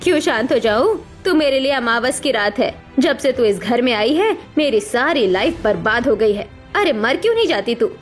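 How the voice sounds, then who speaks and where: A woman's voice: on the high side, very animated, moderate pace, few pauses. Woman voicing a scornful, nagging middle-aged mother. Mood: bitter scolding. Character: harsh, status-obsessed middle-aged mother scolding her daughter. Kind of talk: Hindi cartoon story voice acting. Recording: music behind the voice.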